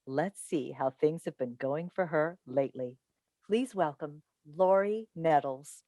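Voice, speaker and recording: A woman's voice: sits low, unhurried, mellow, no echo, muffled recording. Woman acting a driven mother, dryly recorded. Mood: gracious, showy. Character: driven, needling mother and radio advice host turning to television. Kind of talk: staged play reading. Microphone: video call. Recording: dry video call recording.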